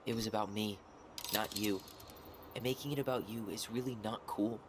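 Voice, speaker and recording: A man's voice: fairly low pitch, steady tone, moderate pace, background noise, lightly acted. A man playing a wounded, stubborn young man in naturalistic video game dialogue, earnest and blunt. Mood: defensive. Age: young adult.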